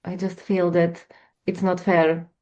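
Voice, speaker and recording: Hesitant soft-spoken woman, lo-fi sound. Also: on the low side, flat delivery, slow. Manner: hesitant, searching for words. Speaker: guest counsellor. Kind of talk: reflective personal conversation. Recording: dull lo-fi audio.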